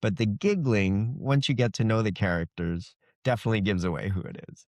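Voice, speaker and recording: Male author, low pitch, dry close sound, easygoing conversational interview delivery. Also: a little fast, darkish tone, no echo. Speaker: fantasy author being interviewed.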